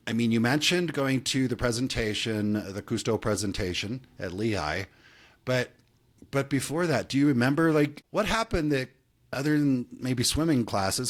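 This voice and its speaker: Male podcast host, chatty, roundabout questioner. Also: mid-range voice. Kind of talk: casual interview questions.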